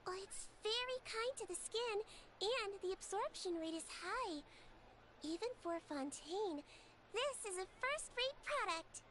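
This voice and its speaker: Very high, composed beauty expert. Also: female voice, animated, a bit bright, a little hiss or hum, exaggerated voice. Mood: assessing. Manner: measured, professional, analytical. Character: composed, matter-of-fact female beauty expert. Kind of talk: English video game dub acting.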